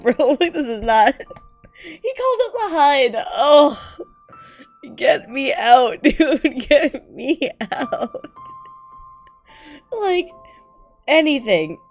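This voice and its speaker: A young woman, a non-native English speaker, reading aloud and commenting casually as a streamer, slangy, profane, giggly and exasperated. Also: high-pitched. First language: not English. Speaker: streamer reacting to fan fiction.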